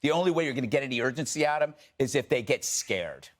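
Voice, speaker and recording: A man's voice: mid-range voice, expressive, quick pace. Blunt, accusatory male commentator. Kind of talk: cable news monologue.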